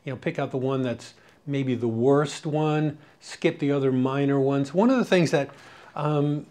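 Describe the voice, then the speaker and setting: A man's voice: medium pitch, a little slow, warm tone. A middle-aged or older man giving an informal, rambling lesson as a radio programming coach, conversational and full of "you know". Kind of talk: informal spoken lesson with anecdotes.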